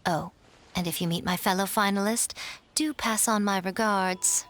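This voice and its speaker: A woman's voice: medium pitch, fairly even intonation, moderate pace, a bit bright, natural voice. A woman voicing a sly, vain and elegant shrine maiden in a video game, poised and playfully teasing. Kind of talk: animated video game dub acting.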